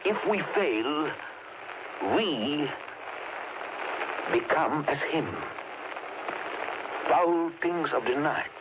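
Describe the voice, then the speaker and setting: An actor playing a grave, commanding male professor who hunts vampires, speaking in deliberate, urgent oratory in a 1930s radio drama. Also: mid-range voice. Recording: dull, noisy old radio broadcast.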